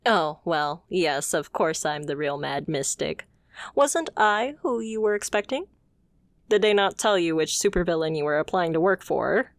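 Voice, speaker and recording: A woman acts out a supervillainess in an intimate ASMR roleplay. She speaks low and slowly, with confident, condescending poise and a teasing, amused edge. The sound is dry, with hardly any room in it. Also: animated, no room sound. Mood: exasperated, incredulous. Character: confident female supervillain boss, haughty yet playfully amused.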